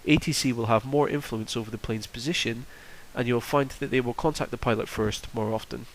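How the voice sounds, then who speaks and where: A man's voice: medium pitch, fairly even intonation, a little fast, low-quality recording. A man presenting a tutorial in a casual, explanatory walkthrough style. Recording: faint background noise.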